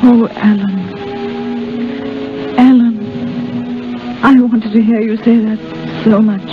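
A woman's voice: fairly high pitch, fairly even intonation, takes it slowly. An affectionate, spirited young woman in love. It is 1930s radio acting, heard through a muffled old broadcast with music behind it. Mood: tender.

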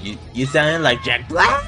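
A man's voice: fairly high pitch, bright, forceful tone. A young man giving casual live reaction commentary in short exclamations and muttered remarks, recorded in a room at home. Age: young adult. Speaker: reaction video host. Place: small furnished room indoors. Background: show audio and music playing.

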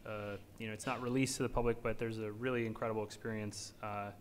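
Low-voiced man moderating a panel. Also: level tone, fast talker, slight background noise. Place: conference stage, at a podium. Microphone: podium mic.

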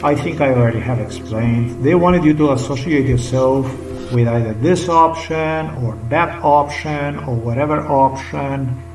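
A man's voice: medium pitch, a little slow, hardly any pauses. A middle-aged man with a Slovenian accent, talking unscripted to his webcam in a rambling, repetitive, emphatic way, sometimes sinking to a whisper. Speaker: vlogger. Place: small indoor room. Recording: roomy webcam audio from a distance, faint music behind.

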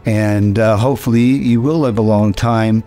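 A man's voice: lowish voice, steady tone, normal speed, mellow, faint music behind. An older man giving earnest, rambling advice with many restarts, seated in a hotel room. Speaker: interviewee. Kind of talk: casual advice to camera.

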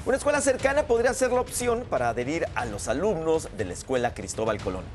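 Studio co-host, man, very animated pitch, chatty with restarts, light music behind. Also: quick pace. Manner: lively, conversational, hesitant restarts. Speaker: morning show co-host. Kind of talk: live news anchoring.

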